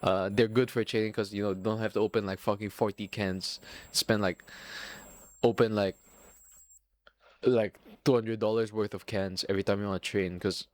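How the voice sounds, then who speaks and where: A man's voice: fairly low pitch, level tone, normal speed, dry recording. A young man from Singapore with a Filipino accent, rambling casually and swearing freely as the host of a solo podcast. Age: young adult. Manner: profane, rambling, self-mocking.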